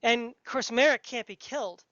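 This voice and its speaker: A woman's voice: fairly high pitch, normal speed, a bit bright, no echo. A woman hosting a casual interview, asking and commenting in tentative, meandering phrases. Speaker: podcast interviewer. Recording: dry but dull, lo-fi audio.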